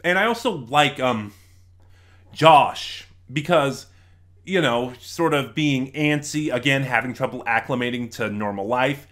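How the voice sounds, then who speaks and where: A man giving an unscripted review in a conversational, halting way, with emphatic stresses and quick asides. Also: mid-range voice, a bit bright. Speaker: reviewer, video host. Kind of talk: unscripted review commentary, explaining a plot.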